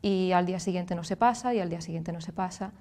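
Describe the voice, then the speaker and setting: A woman in her thirties with a Galician Spanish accent, a speech therapist explaining clearly and patiently as a guest in a TV studio. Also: fairly low pitch, quick pace, mellow, slight background noise. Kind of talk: explanatory interview answers.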